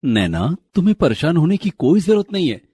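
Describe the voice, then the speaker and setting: A man voicing a calm, reassuring young husband in a moral-story cartoon. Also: on the high side, fairly quick, slightly rough. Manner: soothing, reassuring.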